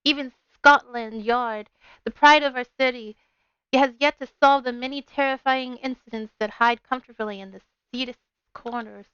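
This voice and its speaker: A woman's voice: on the high side, steady tone, normal speed, a bit bright, clear, resonant tone, dry recording, dull audio. A woman with a bubbly, playful manner, talking as a game streamer on a livestream. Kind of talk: casual livestream chat with read-aloud game narration. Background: game music.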